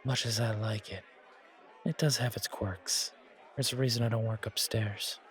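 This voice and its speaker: A man's voice: lowish voice, fairly even intonation, normal speed, a bit bright, close to an everyday voice. A man playing a friendly, reassuring bartender in a casual, naturalistic audio roleplay, with a chatty and slightly hesitant delivery. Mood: easygoing, chatty. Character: friendly, reassuring male bartender at a supernatural bar. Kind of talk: casual, naturalistic one-sided roleplay acting. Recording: crowd chatter behind voice. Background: bar crowd chatter.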